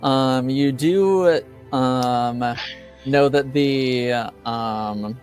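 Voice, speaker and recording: A game master narrating a tabletop roleplaying game casually, with halting, hesitant phrasing. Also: medium pitch, a little mellow, soft background music.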